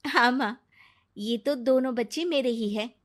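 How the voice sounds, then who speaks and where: Woman as cartoon young daughter, fairly high pitch, earnest, dutiful, kind. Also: a little slow, close to an everyday voice. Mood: gentle, assured. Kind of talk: Hindi cartoon dubbing.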